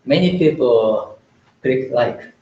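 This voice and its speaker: A man speaks slowly and carefully, pausing often on fillers as he explains a lesson to a small group. His voice sits at an average pitch. The recording is roomy and a little dull, with a faint hiss behind it. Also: lively intonation. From Japan. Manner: hesitant, many filler pauses, plain explaining tone. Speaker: Buddhist lecturer. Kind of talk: informal lecture to a small group. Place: indoor meeting room.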